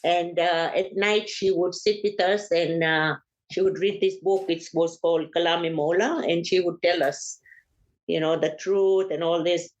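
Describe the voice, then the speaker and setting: An older woman from Uganda, a family elder being interviewed, talking in an unhurried, hesitant way with frequent pauses. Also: on the low side, steady tone, muffled recording.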